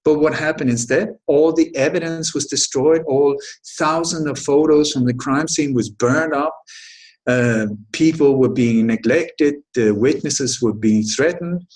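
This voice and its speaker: A middle-aged man from Sweden, a guest in an interview, answering at length in a halting way with many restarts and emphatic points. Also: on the high side, a little mellow, low-quality recording. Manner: halting, frequent restarts and self-corrections, emphatic. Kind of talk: long conversational answer.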